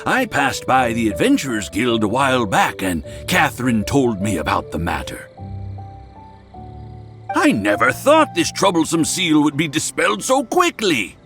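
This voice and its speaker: A man's voice: mid-range voice, sing-song, measured pace, music in the background. A man voicing an effusive, genial house owner in an anime game, theatrical, courteous and eager to please. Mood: affable.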